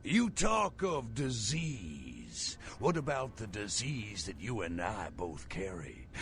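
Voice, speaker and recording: Video game villain: fanatical vampire cult preacher, grandiose, slow and deliberate, dramatic rises and drops. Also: male voice, medium pitch, sharp tone, a little hiss or hum, exaggerated voice. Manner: grandiose, theatrical preacher's delivery with drawn-out words.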